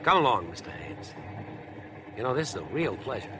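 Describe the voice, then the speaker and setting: Male actor as spoiled rich young man, very animated pitch, mid-range voice, overfamiliar, boastful, insinuating, unsettling, vintage radio play style. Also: moderate pace, background noise, natural voice. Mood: ingratiating. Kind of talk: 1950s radio drama acting.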